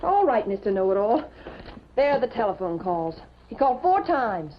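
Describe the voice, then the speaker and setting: An actress playing a sharp-tongued, worried newspaper colleague, prim and insistent, in a 1950s television drama. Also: female voice, fairly high pitch, sing-song, moderate pace. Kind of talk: 1950s American TV drama acting. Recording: muffled, noisy 1950s television soundtrack.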